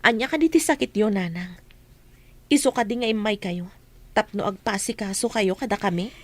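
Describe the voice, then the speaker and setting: A woman's voice: medium pitch, normal speed, dry recording, close to an everyday voice. A woman playing a hurt, resentful grown daughter, earnest and emotional, in an Ilocano radio drama. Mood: concerned.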